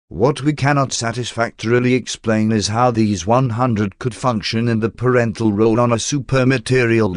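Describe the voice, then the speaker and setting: A man's voice: on the low side, no room sound. Man narrating in a dry, close recording. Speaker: narrator reading a religious text aloud. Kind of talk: scripted audiobook-style narration.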